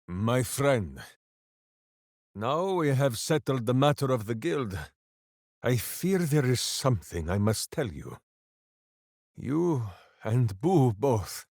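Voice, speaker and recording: An actor playing a big-hearted, simple-minded berserker warrior in a video game, grandiose and earnest. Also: male voice, mid-range voice, big pitch swings, takes it slowly, pauses often, a little mellow, no room sound, clean studio sound. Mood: subdued, solemn. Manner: grandiose, speaks of himself in third person. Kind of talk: fantasy video game voice acting.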